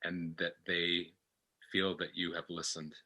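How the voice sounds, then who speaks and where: A man reflecting as a guest in a discussion, halting and searching for his words, often repeating phrases. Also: sits low, little pitch movement, sharp tone, husky. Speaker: podcast guest.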